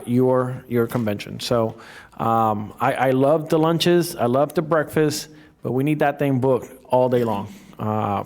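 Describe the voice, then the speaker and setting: A man in an ordinary middle register addresses a county board from the dais. He talks haltingly, doubling words and pausing on fillers as he builds his case. His voice comes through a desk microphone in the commission chamber. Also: medium pitch. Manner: halting, frequent restarts and fillers. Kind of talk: formal public meeting remarks.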